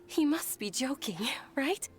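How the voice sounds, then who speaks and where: A woman playing a gentle, earnest young companion in video game dialogue. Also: sits high, animated, a little slow. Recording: game music behind the voice.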